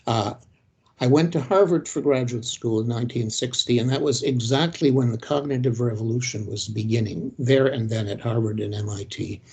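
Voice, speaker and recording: Elderly man lecturing, halting. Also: mid-range voice, vocal fry, a little mellow, breathy edge. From Detroit, United States. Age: 80s. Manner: measured, halting, with frequent "uh" pauses. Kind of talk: reflective academic lecture.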